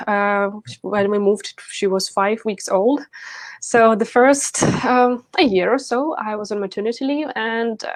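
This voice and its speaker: A woman's voice: medium pitch, dry recording. Woman telling her story. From Ukraine. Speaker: interview guest, leadership and team coach. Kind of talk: conversational personal storytelling.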